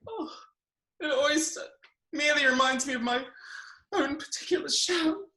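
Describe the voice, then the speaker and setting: A woman's voice: high-pitched, normal speed, pushed and bright. A woman playing a gloomy, self-pitying, lovelorn army captain in a Victorian farce reading, melodramatic, recorded in an echoey room. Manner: mournful, melodramatic.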